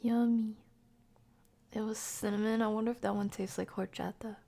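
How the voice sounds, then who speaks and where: A woman live streamer chatting casually with viewers, soft-spoken and often slipping into a breathy near-whisper. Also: mid-range voice, flat delivery, normal speed. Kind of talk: casual livestream chat with viewers.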